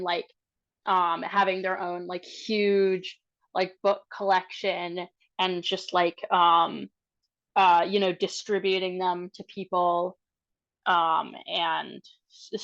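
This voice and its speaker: A woman improvising casually as a tabletop game player, in a halting, hesitant way, on a dull microphone. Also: on the low side, fairly bright tone.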